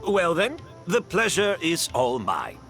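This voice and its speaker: A man's voice: fairly high pitch, huge pitch swings, slow, a bit bright, faint music behind, full character voice. A man playing a modest, gracious older master perfumer and mentor in a video game. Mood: warm, modest. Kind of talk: video game character acting.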